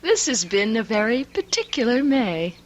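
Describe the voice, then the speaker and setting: An actress playing a tender, emotional young newlywed wife in a radio drama, speaking earnestly. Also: female voice, on the high side, big pitch swings, moderate pace, lo-fi sound. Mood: happy, teasing. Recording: old radio broadcast with music.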